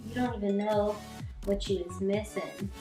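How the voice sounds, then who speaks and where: A woman's voice: mid-range voice, fairly even intonation, moderate pace. A young woman from Canada chatting casually while doing her makeup, rambling with lots of "like". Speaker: vlogger. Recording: echoey room, distant mic, faint music.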